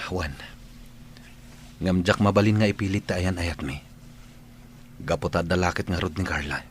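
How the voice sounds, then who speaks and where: Roman, deep-voiced, resigned lovelorn man. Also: fairly even intonation, a little slow, long pauses, slight background noise. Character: Roman, resigned lovelorn man caught between two women. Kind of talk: Ilocano radio drama acting.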